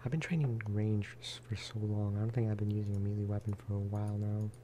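A man speaking softly in a low-key, rambling ASMR style as he chats over gameplay. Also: little pitch movement, fast, slight background noise. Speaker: gaming video creator. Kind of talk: soft-spoken ASMR gameplay chat.

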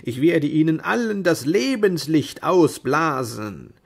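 A man's voice: a little high, big pitch swings, takes it slowly, vocal fry, breathy edge, pitched above the performer's own voice, heavily acted. A man voicing a proud, deluded knight-errant in a grandiose, declamatory manner for a storybook. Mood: defiant, battle-hungry.

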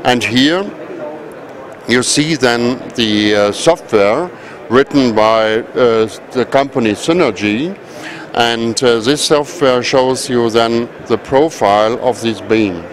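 A man presents products at a trade show in a halting, explanatory manner. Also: medium pitch. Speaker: company representative presenting products. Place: large indoor trade fair hall. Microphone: handheld microphone at chest height. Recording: busy exhibition hall crowd noise. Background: crowd murmur.

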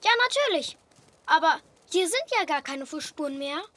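A woman voicing an excited, curious little boy zebra in a children's cartoon, eager and exclamatory. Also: high-pitched, moderate pace. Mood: cheerful.

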